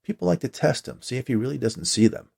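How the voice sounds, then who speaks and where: A man's voice: medium pitch, lively intonation, fast, vocal fry, darkish tone, slightly rough, heavily acted. A man voicing a matter-of-fact veteran watcher who explains the job to a new hire in a story reading. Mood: matter-of-fact explaining.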